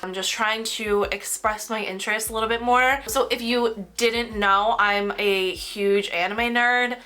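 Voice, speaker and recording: Young woman chatting while she packs orders, bright voice, kinda nasal and tired, says like a lot. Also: a little high, steady tone, measured pace. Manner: rambling, heavy use of "like". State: tired from a cold. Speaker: crafting vlogger. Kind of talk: casual chat while packing orders.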